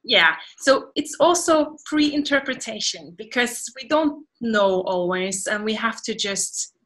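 Bright, animated Norwegian woman on a video call. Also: a little high, unhurried, rough recording. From Norway. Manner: animated, gestures a lot, laughs while talking. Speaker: guest singer. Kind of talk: casual interview answers. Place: home room full of plants, indoors. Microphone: video call webcam.

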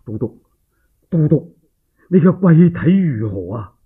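A man's voice: fairly high pitch, very animated, slow, husky, phone-quality sound, heavily acted. A male Cantonese storyteller voicing a cautious, respectful army deputy in a radio tale, speaking carefully. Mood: concerned. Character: cautious, deferential male army deputy reporting to his commander.

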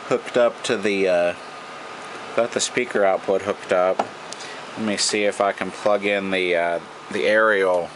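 Low-voiced American man, noisy recording. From United States. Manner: halting, thinking aloud. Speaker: radio repair hobbyist. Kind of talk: informal hands-on demonstration. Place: indoor workbench. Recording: noisy room, steady background hum.

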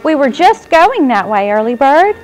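A woman playing a sweet, nurturing clown who hosts a children's show, with a gentle, encouraging manner. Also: high-pitched, very animated, moderate pace, soft background music. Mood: cheerful, welcoming. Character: sweet, nurturing young female clown hostess.

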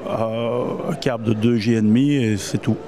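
A middle-aged man explaining his heating product at an exhibition stand, pausing often with hesitations. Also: mid-range voice, steady tone, mellow, slight background noise. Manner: hesitant, with many "euh".

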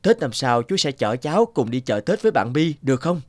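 Man acting animated, friendly cartoon dad. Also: mid-range voice, brisk, no room sound, lightly acted. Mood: sympathetic, encouraging. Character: friendly father and neighbour, middle-aged man.

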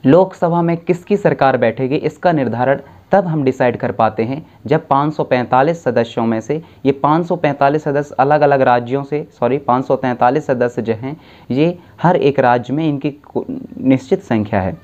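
A young man lectures to camera from a dim room at a desk, using a clip-on mic. His voice is mellow and his pitch sits in the middle. He lays out each point patiently, restarting and correcting himself as he goes, and the recording sounds a little muffled. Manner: explains slowly with self-corrections and restarts. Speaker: civics tutor. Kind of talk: exam-prep lecture to camera.

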